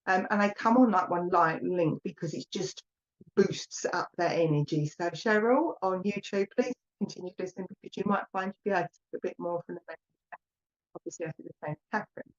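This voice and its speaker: A woman with an Essex accent chats her way through a live psychic reading and calls viewers "my lovely". Her voice sits in a middle range. The stream audio is dull and lo-fi. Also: medium pitch. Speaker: psychic medium. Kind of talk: live online mediumship reading.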